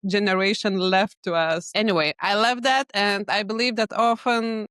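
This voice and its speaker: A woman's voice: mid-range voice, fairly even intonation, moderate pace, a bit bright, clear, resonant tone, no room sound. Woman, podcast host, effusive, searches for words, dry recording. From Lithuania. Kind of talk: podcast interview.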